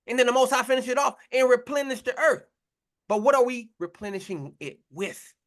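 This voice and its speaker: A man's voice: extremely high pitch, fairly quick, a bit bright. A man preaching a confrontational sermon, blunt and mocking, in slangy talk to his listeners.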